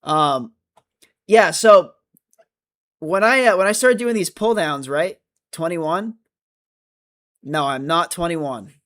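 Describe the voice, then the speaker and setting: A man's voice: on the high side, slow, pauses often, fairly bright tone. A man of twenty-five chatting casually on a livestream, answering viewer comments in a rambling, self-correcting way. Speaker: streamer.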